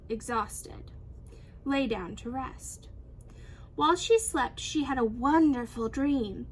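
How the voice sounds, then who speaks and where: A woman reading a children's storybook aloud in an expressive, animated storytelling manner. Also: high voice, a little hiss or hum, rough recording. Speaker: teacher reading a picture book aloud.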